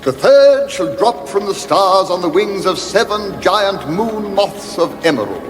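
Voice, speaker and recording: A doddering, apologetic old wizard from a radio fantasy spoof. The high voice swoops through rambling, distracted instructions, ringing with long reverb over music. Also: male voice, very animated, measured pace. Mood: rambling, instructive. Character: doddering, apologetic old male wizard, speaking as recorded vision. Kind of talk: comic fantasy radio drama.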